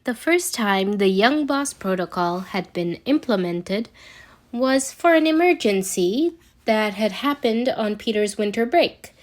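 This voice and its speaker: A woman's voice: mid-range voice, lively intonation, a little slow. A woman reading fan fiction aloud as an amateur narrator, occasionally stumbling over words. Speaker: amateur podfic reader.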